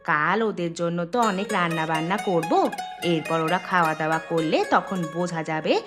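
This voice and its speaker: A woman's voice: fairly low pitch, steady tone, fairly quick, close to an everyday voice. Woman playing a practical cartoon housewife over music. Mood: practical, instructing. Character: practical, instructing village housewife. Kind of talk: cartoon dialogue.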